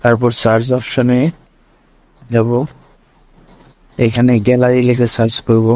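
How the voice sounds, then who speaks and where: A man's voice: mid-range voice, monotone, measured pace, a little mellow, rough recording. A man presenting a screen tutorial step by step, linking each instruction to the last in a repetitive way.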